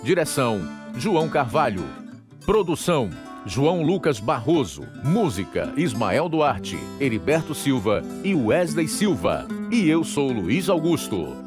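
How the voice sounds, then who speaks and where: A man with a Brazilian accent delivering scripted evangelistic narration as a radio announcer, formal and clearly phrased. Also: medium pitch, sing-song, measured pace. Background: guitar music.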